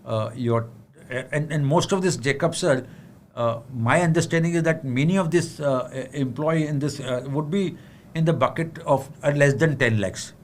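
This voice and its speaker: A middle-aged Indian man with a fairly high voice explains market views to viewers in a frank, unpolished way. He stumbles over fillers and restarts, keeps asking "am I right", and now and then slips into Hindi. Faint background noise sits under his voice. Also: normal speed. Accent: Indian English. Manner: leans on tag questions and switches into Hindi. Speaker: market analyst answering viewer questions. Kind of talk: livestream Q&A on the budget.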